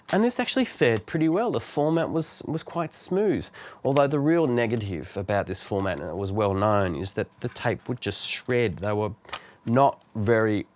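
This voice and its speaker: Low-voiced middle-aged man, reflective. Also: a little hiss or hum. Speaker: music producer presenting a video. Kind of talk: informal explanatory monologue to camera.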